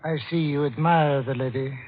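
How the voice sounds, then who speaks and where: An actor playing an odd, deferential butler, quietly obsessed and reverent, in an old-time radio drama. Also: male voice, a little high, steady tone, moderate pace, natural voice. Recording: dull, faintly noisy old radio recording.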